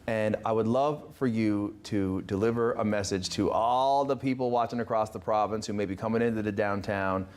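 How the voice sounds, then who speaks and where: A man's voice: on the low side, fairly even intonation, normal speed, few pauses, a little mellow. A man hosting a TV interview in a studio, speaking informally with effusive, encouraging phrasing. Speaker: talk show host.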